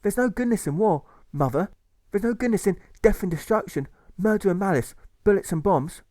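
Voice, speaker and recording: A man's voice: high voice, lively intonation, brisk, warm tone, dry recording, studio quality, pitched above the performer's own voice. A man voicing an earnest, principled young son with a nervous, stammering way of talking in an audiobook. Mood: earnest, defiant. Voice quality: stammering on consonants.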